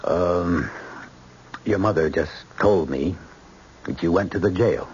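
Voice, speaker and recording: A man's voice: low voice, slow pace, a little mellow. An actor playing a stern but conciliatory middle-aged American father, a judge, in an old-time radio drama. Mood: uneasy, disapproving. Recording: dull old radio broadcast with hiss.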